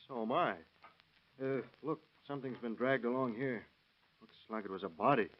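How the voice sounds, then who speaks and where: A man's voice: medium pitch, normal speed, natural voice. An actor playing an uneasy, grimly blunt man with a terse, guarded manner in 1930s radio drama. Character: wife's male companion, uneasy but grimly blunt conspirator. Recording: dull vintage radio recording.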